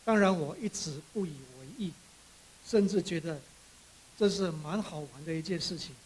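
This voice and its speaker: A man from Borneo, giving a prepared speech as an author in measured, halting short phrases. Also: fairly high pitch, darkish tone, a little hiss or hum, low-quality recording. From Borneo, Malaysia. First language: Chinese.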